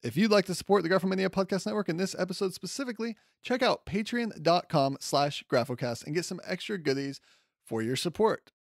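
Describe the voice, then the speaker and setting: Male podcast host, slightly high register, casual and enthusiastic monologue, dry recording. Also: animated, brisk, creaky, dry recording. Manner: enthusiastic, chatty.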